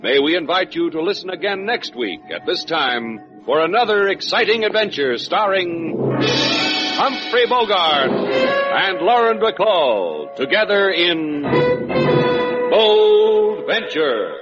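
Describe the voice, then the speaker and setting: An American man announces in grand 1950s radio style. His pitch is high and sweeps dramatically as he draws words out. The sound is dull, under loud orchestral music. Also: very animated, takes it slowly. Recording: muffled vintage radio over loud music.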